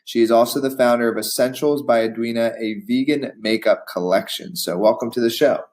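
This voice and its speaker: A man hosting a business podcast, reading an ad and putting prepared questions to his guest in a steady way. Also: mid-range voice, brisk. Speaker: podcast host. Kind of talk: podcast interviewing.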